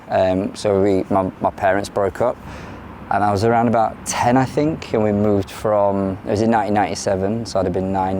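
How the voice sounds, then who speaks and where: A man in his thirties with a London English accent talks candidly in an interview, his voice sitting fairly low. He speaks plainly and openly, pausing and restarting often as he gathers his thoughts. A faint hum of noise sits behind him. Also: mellow. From Ireland. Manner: frank and plain-spoken, with frequent hesitations and restarts. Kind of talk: candid personal testimony.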